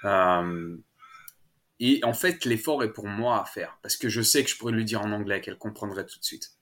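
Low-voiced french guy chatting, lots of euhs and restarts, laughs a bunch. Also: moderate pace, a bit bright. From France. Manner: hesitant, many fillers and restarts, laughs often. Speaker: guest, French-speaking father. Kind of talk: casual interview chat.

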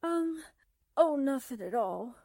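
A woman's voice: sits high, animated, slow, darkish tone, no echo, studio quality, full character voice. An actress playing a brash, cheeky, scatterbrained newspaperwoman with perky delivery in a 1940s-style radio drama. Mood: flustered. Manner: perky, wisecracking.

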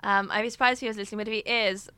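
Bright, smooth-voiced young woman. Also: mid-range voice, fairly even intonation, rapid-fire, dry recording. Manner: candid, rambling with many restarts. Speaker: podcast host. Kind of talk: casual chat.

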